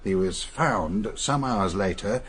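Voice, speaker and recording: An actor playing a well-bred, matter-of-fact gentleman in a radio drama, with clipped delivery. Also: fairly low pitch, expressive, takes it slowly. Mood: grave, informative. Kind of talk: British radio drama acting.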